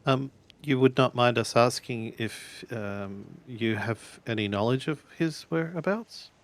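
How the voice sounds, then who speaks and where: A man playing a tabletop roleplaying game, improvising casually with halting phrasing. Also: mid-range voice.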